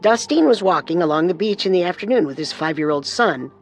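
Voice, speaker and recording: A man's voice: high-pitched, expressive, fairly quick, soft background music. A man co-hosting a scripted true-crime show, telling and explaining the story. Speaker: co-host narrator.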